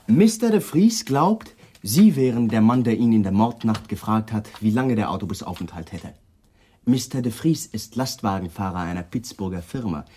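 A man's voice: on the low side, expressive, normal speed, dark tone, husky. An actor playing a courteous, probing male homicide lieutenant in a radio crime play, formal and businesslike. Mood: polite, pointed. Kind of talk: 1980s radio play acting.

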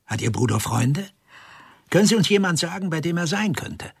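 An actor playing a methodical police detective in a radio play, questioning in a probing, matter-of-fact way. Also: male voice, a little high, sing-song, moderate pace, slightly rough, dry recording, clean studio sound, exaggerated voice.

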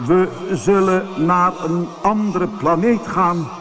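A man voicing an alien spaceship captain in a storybook, with slow, deliberate, drawn-out speech and an easily alarmed temper. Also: sits high, fairly bright tone, pitched above the performer's own voice. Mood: uneasy.

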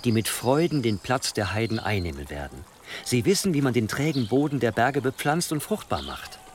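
A man's voice: medium pitch, sing-song, moderate pace, slight background noise, close to an everyday voice. An actor playing a ruthless, scheming churchman who speaks with formal, calculating deference in a German historical radio drama. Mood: cold, assured. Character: scheming, obsequious, ruthless churchman advising his bishop.

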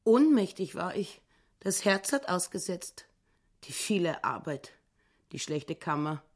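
Radio play role: self-pitying housekeeper wife, lowish voice, plain-spoken, nagging, demanding. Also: female voice, very animated, a little slow. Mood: aggrieved, demanding. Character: self-pitying, grasping, grumbling housekeeper wife, no longer young. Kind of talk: German radio play acting.

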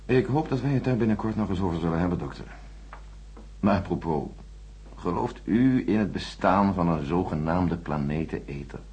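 A condescending space-programme boss in a radio drama. His voice is deep and very husky, formal and patronising, with animated intonation. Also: moderate pace. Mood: coolly dismissive. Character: smooth-talking, condescending male chief space engineer, coolly official. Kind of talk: radio play dialogue.

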